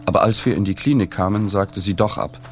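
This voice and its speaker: An actor playing a sober, observant journalist in a literary radio drama, measured and reflective. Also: male voice, lowish voice, soft background music, natural voice. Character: sober, observant male journalist recounting a reportage. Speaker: narrator and journalist.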